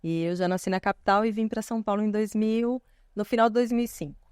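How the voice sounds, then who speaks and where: Northeastern Brazilian woman, Pernambuco accent, smooth and clear voice, thoughtful guest, dry mic. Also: mid-range voice, quick pace, a little mellow, no room sound. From Recife, Pernambuco, Brazil. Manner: explains thoughtfully, tags "né" often. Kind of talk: casual interview chat.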